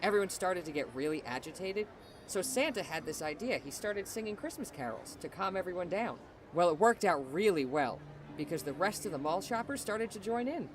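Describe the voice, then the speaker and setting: A woman's voice: on the low side, lively intonation, fairly quick, noisy background, lightly acted. A woman playing a snippy, put-upon mall Christmas elf in a radio drama, huffy and chatty. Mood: worried.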